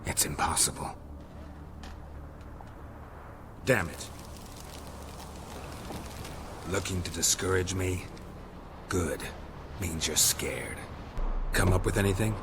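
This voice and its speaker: An actor playing a stoic, weary monster hunter in a video game, speaking in a dry, terse, deadpan way with a deep, gravelly voice. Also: male voice, measured pace, noisy background. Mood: tense. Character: stoic, weary male monster-hunting witcher, dry and guarded. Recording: background music under the voice.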